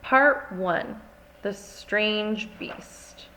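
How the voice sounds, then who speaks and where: A woman reading a children's story aloud as narrator, with expressive, deliberate delivery. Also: mid-range voice, a little hiss or hum, low-quality recording. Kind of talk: scripted read-aloud narration for young children.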